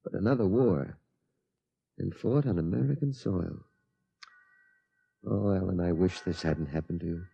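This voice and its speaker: A man's voice: sits low, unhurried, gravelly, long pauses, warm tone, slightly rough, no room sound, exaggerated voice. A man acting in a 1950s radio drama as an anxious, doubtful middle-aged father, hesitant and easily flustered. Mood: worried, uneasy. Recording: muffled, dry 1950s radio recording.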